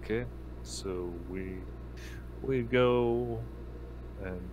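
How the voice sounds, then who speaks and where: A man narrating an online tabletop game as game master, halting and searching for words. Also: mid-range voice, darkish tone, muffled recording, a little hiss or hum.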